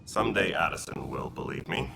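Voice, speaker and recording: Very deep, gravelly alien soldier. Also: male voice, animated, brisk, background noise, exaggerated voice. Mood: grim. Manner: terse, military bluntness. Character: gruff male turian alien militia leader, steady and dutiful. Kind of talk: video game dialogue.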